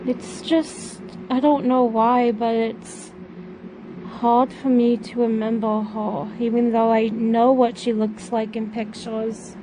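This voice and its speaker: A woman's voice: little pitch movement, slow. A woman playing a grieving, withdrawn daughter who lost her mother, speaking hesitantly in an amateur audio drama. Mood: sad, reluctant. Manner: hesitant, downcast delivery. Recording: noisy rumbling background.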